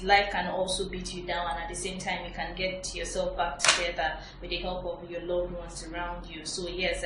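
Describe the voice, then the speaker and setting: A woman's voice: mid-range voice, a bit bright. A woman giving her opinions as a panelist in a casual review discussion. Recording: echoey room with faint background noise.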